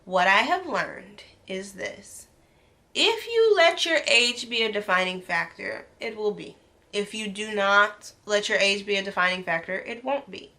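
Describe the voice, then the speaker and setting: A woman's voice: mid-range voice, animated, measured pace, sharp tone. A woman in her late twenties, a medical student, talking earnestly to camera in a casual vlog, with ums and restarts. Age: 20s.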